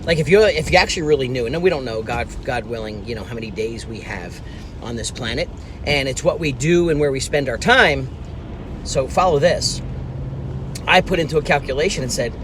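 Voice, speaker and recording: Fifties male coach, earnest to-camera pep talk from a car, average pitch, steady background noise. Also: a little fast. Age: 50s. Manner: earnest, emphatic, repeats key points. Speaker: business coach. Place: inside a parked car. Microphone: phone camera at arm's length.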